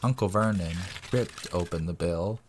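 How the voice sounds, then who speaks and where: A man's voice: sits low, normal speed, slight background noise. A man reading as the narrator of a dramatic audiobook.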